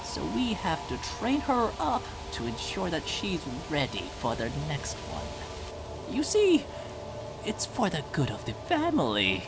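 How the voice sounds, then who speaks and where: A low-voiced, wicked old family patriarch, sneering through every phrase. The delivery is slow and theatrical, swinging wildly in pitch. It is cartoon villainy with sarcasm dripping from it, over music. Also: female voice, huge pitch swings, background noise, heavily acted. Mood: mocking. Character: gleefully cruel, mocking elderly family patriarch. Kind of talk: comic anime parody acting. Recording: music behind the voice.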